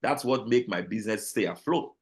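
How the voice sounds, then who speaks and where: A man with a Nigerian accent, a guest talking casually in an interview, animated and full of restarts and repeated words. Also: on the low side, fairly quick. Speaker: guest, business owner.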